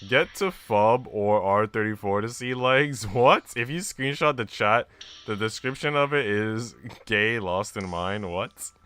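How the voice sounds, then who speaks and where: A man's voice: lowish voice, unhurried, a bit bright, clear, resonant tone. A man live streaming in an informal, slangy way, moving between casual chat and reading aloud.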